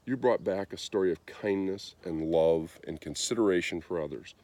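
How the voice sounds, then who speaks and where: A man voicing a jovial, kindly king in a storybook, grand and expressive in manner. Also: low voice, normal speed, no room sound, lightly acted. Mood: grandly approving.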